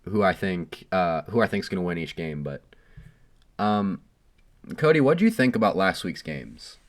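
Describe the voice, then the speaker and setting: A low-voiced man co-hosts a casual sports podcast, his voice hoarse from illness. He talks in a loose, teasing way, with plenty of ums along the way. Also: big pitch swings, moderate pace. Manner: playful, boastful teasing with frequent ums. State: hoarse from being sick. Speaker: podcast co-host.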